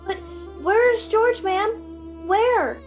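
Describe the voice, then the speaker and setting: Woman playing high, halting, befuddled old dodderer. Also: over music, exaggerated voice. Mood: puzzled. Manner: halting, fretful, repeating words. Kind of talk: radio drama acting.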